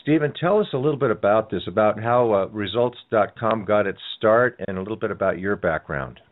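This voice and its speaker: A man's voice: fairly low pitch, fairly quick. A man hosting a radio interview show, asking rambling questions that he often restarts. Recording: tinny phone-like sound.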